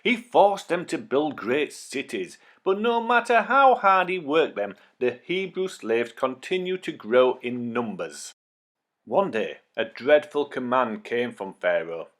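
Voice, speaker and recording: A man narrating a scripted children's Bible story with dramatic, expressive delivery. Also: medium pitch, unhurried.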